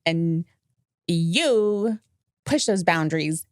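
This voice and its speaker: A woman's voice: lowish voice, expressive, drawn-out words, no echo, clean studio sound. A woman in her forties chatting casually and candidly as a podcast guest, informal and a little self-deprecating. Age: 40s. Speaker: podcast guest, company accountant. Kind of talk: casual chat.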